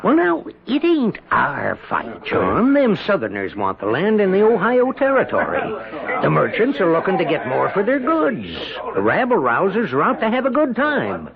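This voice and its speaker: A sly, mocking American farmer in an old radio drama. His high voice swoops widely as he wheedles with false heartiness, and it creaks at the edges. The sound is a dull radio broadcast. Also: huge pitch swings, moderate pace, a crowd in the background, exaggerated voice. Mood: falsely hearty, wheedling. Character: sly, mocking, prosperous older male farmer, wheedling schemer. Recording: dull, band-limited 1970s radio broadcast.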